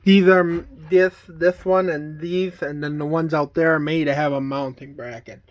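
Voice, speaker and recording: A man casually showing items from a collection in a plain, halting, item-by-item way. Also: fairly high pitch, fairly even intonation, dull audio. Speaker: collector showing weather radios. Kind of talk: casual show-and-tell vlog.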